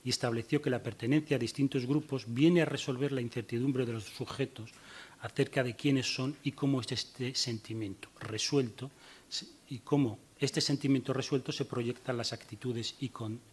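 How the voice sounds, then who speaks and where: A man's voice: mid-range voice, fairly even intonation, slightly rough. A man giving a short talk on a conference panel, hurrying to finish and reading passages aloud. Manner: hurried, reads quotes aloud. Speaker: panel speaker.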